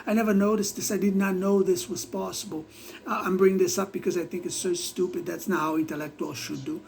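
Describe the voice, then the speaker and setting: High-voiced Brazilian man rambling. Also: fairly even intonation, gravelly, a little mellow. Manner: rambling, halting, many restarts. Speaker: vlogger. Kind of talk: casual monologue to camera.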